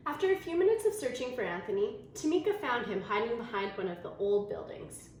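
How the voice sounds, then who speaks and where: A woman reading a children's story aloud in an expressive storytelling manner. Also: on the high side, a little fast. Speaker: storybook reader. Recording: very echoey room, faint noise.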